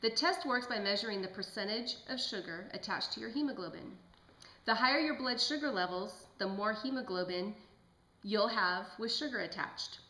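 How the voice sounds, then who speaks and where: A woman's voice: mid-range voice, moderate pace, bright, forceful tone, natural voice. A woman playing a polite, studious lab technician in an amateur puppet show, with careful, recited delivery. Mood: explanatory. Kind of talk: scripted amateur lecture. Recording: echoey room.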